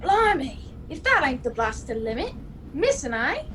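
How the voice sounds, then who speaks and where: High and swooping, a woman plays a nosy working-class in a radio play. The delivery is slangy and exclamatory, over faint background noise. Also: big pitch swings, unhurried. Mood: nosy, astonished. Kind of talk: old-time radio play acting. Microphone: video call. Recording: faintly noisy video call audio.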